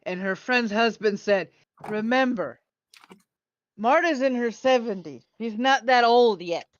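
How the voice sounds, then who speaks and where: A woman's voice: fairly high pitch, a little slow. A woman in her fifties telling rambling stories in a casual group voice chat. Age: 50s. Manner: rambling storyteller with restarts. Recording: muffled lo-fi mic.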